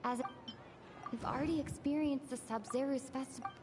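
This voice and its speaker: A woman's voice: high voice, steady tone, fairly quick. A woman game streamer reading game text aloud and hesitantly puzzling over it. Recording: clearly noisy stream audio.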